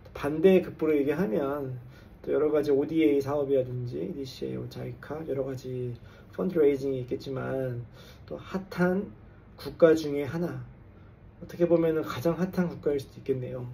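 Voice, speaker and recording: A man's voice: a little high, moderate pace, vocal fry, dark tone, far from the mic. A man in his forties from South Korea, a little tipsy, talking casually to camera as an engineer and coach and mixing English jargon into his talk. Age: 40s. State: tipsy after drinks. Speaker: construction engineer and English coach hosting a channel. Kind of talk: casual vlog monologue to camera.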